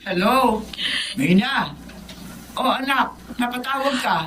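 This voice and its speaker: A woman's voice: a little high, slow pace, very noisy. An actress with a Filipino accent playing a loving, protective middle-aged mother, speaking with earnest emotion in a radio drama. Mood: worried. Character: protective, firm, loving mother of grown daughter.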